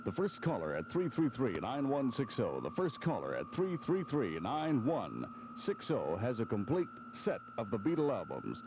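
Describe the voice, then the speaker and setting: A noisy, dull old AM aircheck with hum carries an American male DJ. His voice is fairly low and swings widely in pitch as he runs a phone-in giveaway, repeating details with care. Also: huge pitch swings. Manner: repeats and spells things out deliberately. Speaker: radio DJ. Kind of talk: 1970s pop radio DJ patter, album giveaway and phone-in.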